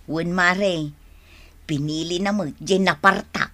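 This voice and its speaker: A woman's voice: fairly low pitch, fairly even intonation, slow pace, pushed and bright. A woman playing a middle-aged vendor wife in a radio drama, plaintive, nagging and jealous, full of exclamations. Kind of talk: Ilocano radio drama acting.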